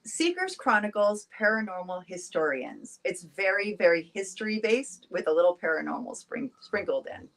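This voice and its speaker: A woman's voice: mid-range voice, big pitch swings, moderate pace, hardly any pauses, fairly bright tone, poor audio. Upbeat woman guest plugging her podcast. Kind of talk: casual chat.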